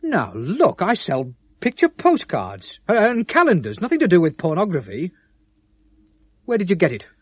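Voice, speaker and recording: Indignant, animated British photographer. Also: male voice, high-pitched, normal speed, no echo, full character voice. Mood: disgusted, defensive. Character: proud, defensive male picture-postcard photographer under questioning. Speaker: actor in a radio play. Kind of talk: 1970s British radio drama acting.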